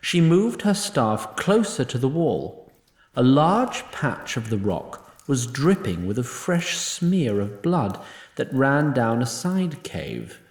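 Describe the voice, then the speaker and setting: Lively wry male narrator, roomy echo. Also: medium pitch, slow. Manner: wry, literary. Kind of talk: scripted story narration.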